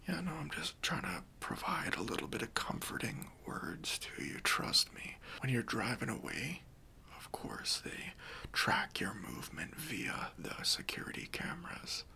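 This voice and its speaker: A man playing a kindly, reassuring bank robber in a soft, breathy ASMR roleplay, speaking gently and casually to an accomplice. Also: mid-range voice, very animated, measured pace, exaggerated voice. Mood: soothing, reassuring. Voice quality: breathy, near-whispered.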